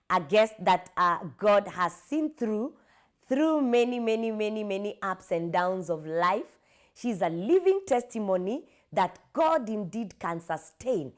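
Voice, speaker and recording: A Kenyan woman hosting a talk show, chatting with a guest and repeating words as she goes, mixing in some Swahili. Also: a little high. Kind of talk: casual interview chat.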